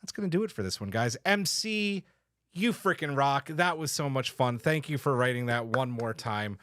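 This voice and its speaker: A man with an average-pitched voice hosts a podcast in an informal, slangy way. He chats casually and swears mildly now and then, recorded close and dry. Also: expressive, moderate pace, a bit bright, no room sound. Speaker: podcast host. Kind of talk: casual chat, wrapping up a podcast.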